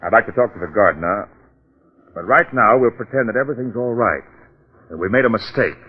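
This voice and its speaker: A slightly muffled old radio recording of a police detective. He has a fairly low voice and speaks in clipped, commanding phrases, determined and suspicious. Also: lively intonation, a little fast, husky. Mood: wary, intent. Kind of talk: old-time radio drama acting.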